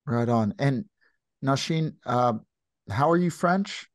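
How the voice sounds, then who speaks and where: A man hosting a podcast, asking guests questions in a relaxed, halting way. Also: medium pitch, no echo, clean studio sound. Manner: relaxed, halting with repeated words. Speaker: podcast host. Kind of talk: casual interview chat.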